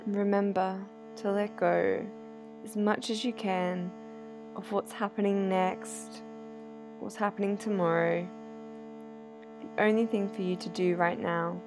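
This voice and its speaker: A woman guiding a sleep meditation in a soothing, unhurried, gently instructive manner. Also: mid-range voice, steady tone. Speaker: yoga nidra meditation guide. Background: soft sound healing instrumental music.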